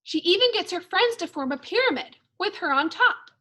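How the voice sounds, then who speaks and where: A woman teacher reading a storybook aloud for young children, expressively and in measured phrases. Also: extremely high pitch, expressive, bright, forceful tone. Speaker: second grade teacher.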